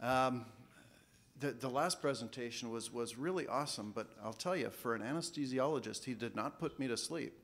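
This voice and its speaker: A man giving a conference talk in a relaxed, casual way, often repeating words as he goes. English is not his first language. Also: medium pitch, moderate pace. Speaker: conference speaker, financial planner. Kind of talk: conference presentation. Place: conference hall.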